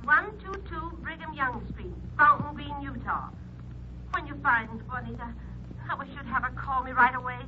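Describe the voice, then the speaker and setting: A high, flustered woman's voice on a muffled, noisy old radio drama recording. An actress plays an anxious small-town mother who stammers and hesitates as she talks. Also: normal speed, natural voice. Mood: anxious, eager. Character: anxious small-town mother phoning about missing daughter. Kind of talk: 1940s radio drama acting.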